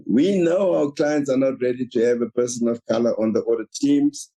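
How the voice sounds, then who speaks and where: A man whose first language is Afrikaans, a guest author telling his story in a measured, reflective way in an interview. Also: medium pitch, mellow, rough recording.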